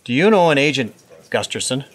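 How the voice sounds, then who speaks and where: An actor playing an eager, determined government agent in an audio drama, urgent and businesslike. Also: male voice, medium pitch, sing-song, a little fast, close to an everyday voice. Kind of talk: scripted audio drama acting.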